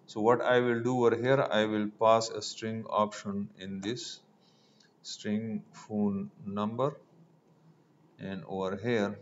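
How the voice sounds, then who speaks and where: A man giving a step-by-step screencast programming tutorial, halting as he thinks aloud while typing. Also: lowish voice. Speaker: programming instructor. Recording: dull lo-fi mic with noise.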